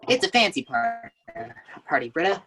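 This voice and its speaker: A man's voice: sits high, very animated, normal speed, over music. A man reading the part of an earnest, defensive twenty-one-year-old man in a sitcom table read. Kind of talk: amateur table read acting.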